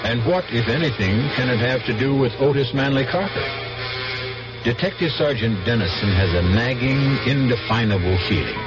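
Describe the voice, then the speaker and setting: A man narrates over music on a muffled old radio recording. He is a 1970s mystery host, deliberate and suspenseful, with a widely moving pitch. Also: expressive.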